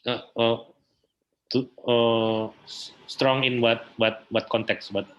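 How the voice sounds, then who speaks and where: A man's voice: medium pitch, flat delivery, slow, a bit bright, muffled recording. A man with an Indonesian accent giving thoughtful interview answers, hesitant and often searching for words as he repeats phrases. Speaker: interview guest, theatre researcher and festival director.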